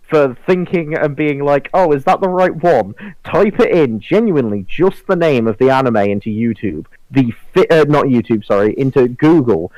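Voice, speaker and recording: Male podcast panelist, mid-pitched, enthusiastic and conversational, circling back over his phrases, very dry recording. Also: a little mellow, dry recording, rough recording. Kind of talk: casual group discussion.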